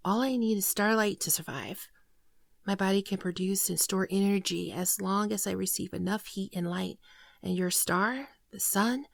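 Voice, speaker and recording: A woman playing a gentle, naive shapeshifting alien in an ASMR roleplay, speaking softly, hesitantly and tenderly, often near a whisper, close to the microphone. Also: mid-range voice, gravelly, dry recording. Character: gentle shapeshifting female alien, naive, earnest, shyly affectionate. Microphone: close microphone, dry sound.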